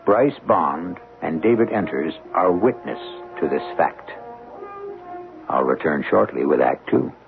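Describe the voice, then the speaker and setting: An actor playing the ominous male host and narrator of a 1970s radio mystery drama, speaking in a gravelly, deliberate way. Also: rumbling low, expressive, heavily acted. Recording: narrow phone-like old radio broadcast with music.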